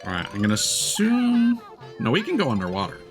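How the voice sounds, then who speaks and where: A man's voice: mid-range voice, huge pitch swings, brisk. A man streaming a video game, thinking aloud in casual, rambling commentary while he plays. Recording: game music behind the voice. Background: video game music.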